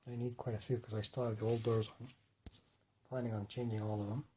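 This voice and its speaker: Rough-voiced man rambling casually. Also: fairly low pitch, level tone, fast talker, warm tone, slight background noise. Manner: rambling, self-correcting. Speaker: hobbyist showing his model city. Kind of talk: casual talk-through.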